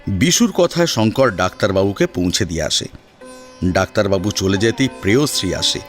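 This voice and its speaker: A man's voice: low voice, expressive, normal speed, faint music behind. A man narrating a scripted story in a dramatic storytelling style.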